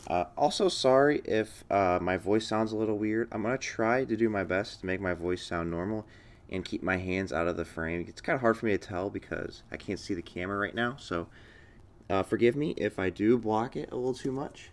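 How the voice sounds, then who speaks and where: A man's voice: lowish voice, brisk. An American man giving casual, enthusiastic, rambling unboxing commentary as a channel host.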